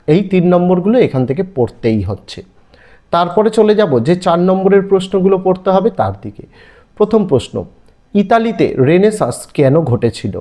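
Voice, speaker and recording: A man with an Indian Bengali accent teaches online, reading questions out one after another. His voice sits fairly high and swings widely in pitch, with a soft, mellow tone. He sounds instructional and emphatic, with a repetitive list-like rhythm. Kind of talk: exam-prep lesson, reading out listed questions.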